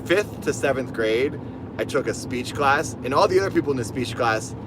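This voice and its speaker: Man with a lisp, rambling stream chat, in a moving car, clear road noise behind. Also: medium pitch, lively intonation, normal speed. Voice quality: lisp on S sounds. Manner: chatty, rambling, reads out donations. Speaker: livestreamer. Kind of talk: casual rambling livestream chat. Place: in a car while driving.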